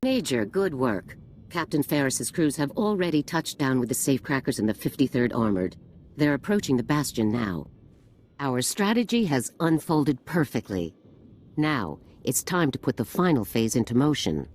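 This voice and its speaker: A man plays a composed, commanding general in a sci-fi video game. His briefing is formal and crisp, with lively movement in pitch. There is faint ambient noise behind him. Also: normal speed, lightly acted. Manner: formal, crisp military delivery. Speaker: military commander giving briefing. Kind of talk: scripted video game dialogue.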